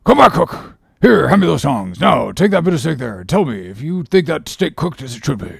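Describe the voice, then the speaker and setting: A man voicing a bossy, mocking sailor officer in an audiobook, animated and needling, with a gravelly rasp. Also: a little high, moderate pace, dry recording, studio quality, voice pushed up, exaggerated voice. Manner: animated, needling, mock-solemn interrogation. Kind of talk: audiobook character reading.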